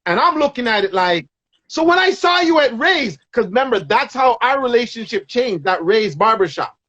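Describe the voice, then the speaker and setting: A man's voice: very high-pitched, very animated, normal speed, pushed and bright, muffled recording. A man chatting casually as a show host, full of slang and repeating phrases for emphasis. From Toronto, Canada. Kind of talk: casual, slangy reminiscing with a guest.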